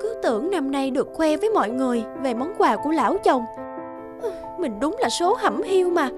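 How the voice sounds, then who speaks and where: A woman voicing an emotional working wife and mother in a cartoon, with an expressive, plaintive delivery. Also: sits high, moderate pace. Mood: wistful. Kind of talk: animated story voice acting. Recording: background music.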